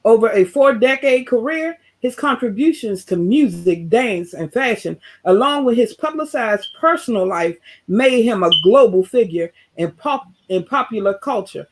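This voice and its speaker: Woman, fairly high voice, scripted tribute reading, formal, measured, small stumbles. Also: poor audio. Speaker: show co-host.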